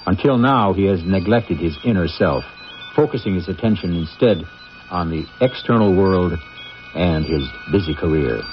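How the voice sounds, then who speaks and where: A man performing as an ominous, theatrical mystery host, narrating a radio drama with deliberate phrasing on an old recording. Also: low voice, full character voice. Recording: dull 1980s radio recording, faint music.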